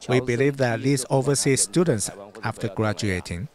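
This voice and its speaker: Calm male interview voice-over. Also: mid-range voice, normal speed.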